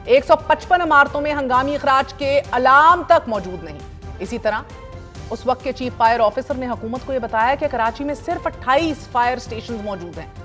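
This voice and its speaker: Lady news anchor, fired up, asks sharp rhetorical questions, kinda high voice, faint music under her. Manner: indignant, pointed rhetorical questions. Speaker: news talk show host. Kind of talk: scripted news commentary and interviewing.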